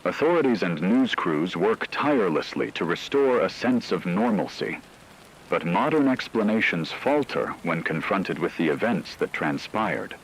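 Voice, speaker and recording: A man's voice: low-pitched, sing-song, normal speed, a little hiss or hum, poor audio. A man playing a solemn radio newscaster, narrating formally and ominously in a scripted radio drama. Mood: grave, ominous. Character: solemn male radio newscaster reporting supernatural terror. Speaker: radio news host.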